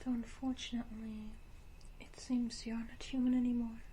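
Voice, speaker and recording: A woman voicing an elegant, condescending vampire ruler, whispering with teasing, deliberate menace in an ASMR roleplay. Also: fairly high pitch, flat delivery, dark tone, slight background noise, close to an everyday voice. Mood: quietly menacing.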